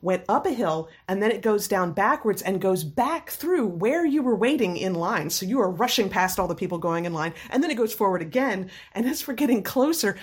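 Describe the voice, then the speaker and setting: A woman's voice: medium pitch, a little fast, hardly any pauses, breathy edge. Slightly scratchy-voiced woman chatting. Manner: animated, laughs while telling stories. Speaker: storyteller in a chat. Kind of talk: casual anecdotal chat.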